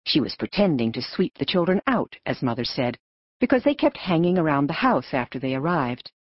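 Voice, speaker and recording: Woman reading a story audiobook, low voice but very expressive, dry slightly dull sound. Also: brisk, dry recording. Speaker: audiobook narrator. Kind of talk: scripted story narration.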